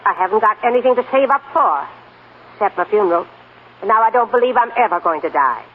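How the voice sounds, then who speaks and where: A woman's voice: medium pitch, lively intonation, fast, lightly acted. Blunt old granny woman acting, no-nonsense radio drama, tinny old hissy recording. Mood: feisty, resolute. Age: older. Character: feisty old pioneer grandmother, blunt but encouraging.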